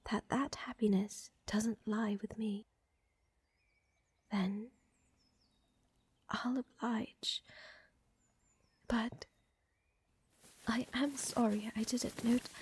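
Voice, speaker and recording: Breathy, unhurried vampire countess, played by a woman. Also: on the high side, heavily acted. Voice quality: soft, breathy, often whispering. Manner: slow, refined, affectionate, gently dominant. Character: tender, seductive female vampire countess, protective lover. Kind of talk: intimate ASMR audio roleplay. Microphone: close, dry microphone.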